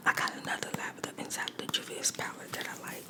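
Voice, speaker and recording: A woman whispering throughout as she tells a personal story while doing her makeup. She repeats phrases for emphasis and talks straight to her viewers. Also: quick pace. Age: adult mother. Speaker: makeup vlogger.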